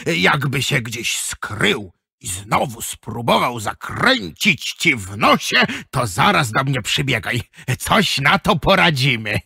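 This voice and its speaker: Man playing a raspy, creaky kindly pharmacist. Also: mid-range voice, big pitch swings, slow, pushed and bright, no echo, studio quality, heavily acted. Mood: kindly, cheerful. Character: kindly, chuckling old-fashioned male pharmacist. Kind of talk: children's audio drama acting.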